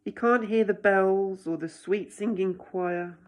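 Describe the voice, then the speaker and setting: A woman's voice: mid-range voice, measured pace, slight background noise. A woman reading a picture book aloud expressively, like a teacher, with dramatic delivery and direct asides to young listeners. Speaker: children's story reader, teacher.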